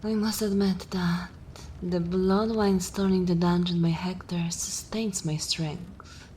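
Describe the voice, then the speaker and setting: Slow, haughty ancient vampire mistress, acted by a woman. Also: lowish voice, steady tone, a little mellow, slight background noise. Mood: bored. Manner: languid, theatrical, disdainful, lapsing into whispers. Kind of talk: ASMR dark fantasy roleplay.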